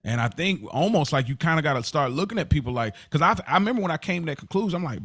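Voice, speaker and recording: An American man hosting a casual podcast discussion, telling stories in an animated way, acting out quotes and repeating phrases for emphasis. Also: on the high side, rapid-fire, breathy edge, dry recording, studio quality. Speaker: podcast host.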